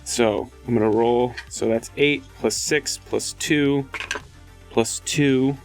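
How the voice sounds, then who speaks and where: A man with a mid-pitched voice talks casually as a player in a tabletop game. He is matter-of-fact, working through rules and numbers, with faint music behind. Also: fairly even intonation, slow pace.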